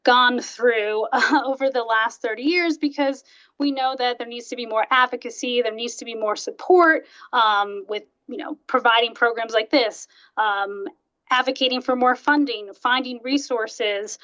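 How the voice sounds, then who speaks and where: A woman hosting an online webinar and introducing it informally, with halting phrasing. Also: fairly high pitch, a bit bright. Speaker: program host introducing a speaker. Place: online video call. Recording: dull, muffled online call audio.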